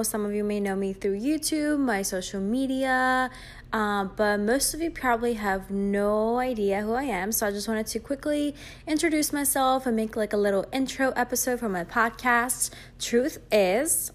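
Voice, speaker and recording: Young woman host from Greece, twenties, chatty solo podcast talk with fillers, slightly high voice. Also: moderate pace. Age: 20s. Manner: chatty, rambling, many fillers. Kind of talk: casual solo podcast chat.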